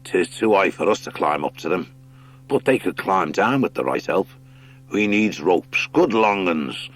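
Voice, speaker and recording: A hearty sea otter skipper from an animal storybook, acted out by a man. His talk is salty and rustic, jolly and good-natured, with a lively rise and fall. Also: deep voice, unhurried, heavily acted. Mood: hearty, scheming. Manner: nautical rustic speech, jolly. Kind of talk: audiobook character acting.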